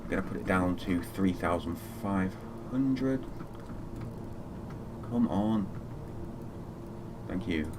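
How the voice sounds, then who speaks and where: Deep-voiced man streaming over background noise. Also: animated, slow, pauses often. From Fiji. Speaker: flight simulator live streamer. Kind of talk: casual live gaming commentary.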